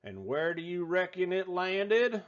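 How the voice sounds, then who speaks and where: A man voicing a folksy, excitable restaurant owner telling a tall tale in a theatrical drawl for a children's storybook. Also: high voice, unhurried, dull audio. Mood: folksy, excited. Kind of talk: animated children's storybook read-aloud.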